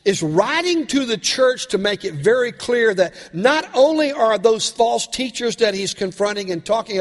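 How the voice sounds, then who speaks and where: A male pastor, raspy from a cold, preaching a sermon with earnest questions to his congregation. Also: sits high, long reverb tail.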